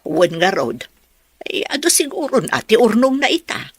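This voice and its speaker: A woman's voice: fairly high pitch, sing-song, normal speed, slightly rough, dry recording, studio quality. A woman acting an easygoing villager in an audio drama, with a Filipino Ilocano accent. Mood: chatty.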